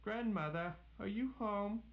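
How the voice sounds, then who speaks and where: A man's voice: sits high, unhurried, muffled recording, voice pushed up. A man voicing a timid, dutiful sixteen-year-old girl in a storybook tale. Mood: anxious. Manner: uneasy, earnest.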